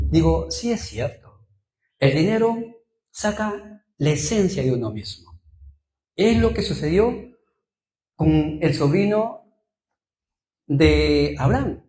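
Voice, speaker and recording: A man's voice: fairly high pitch, a little mellow, roomy sound, poor audio. A Peruvian man with a Peruvian Spanish accent preaching as a pastor, telling personal stories, repeating phrases for emphasis and asking rhetorical questions. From Peru. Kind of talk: sermon with personal anecdotes.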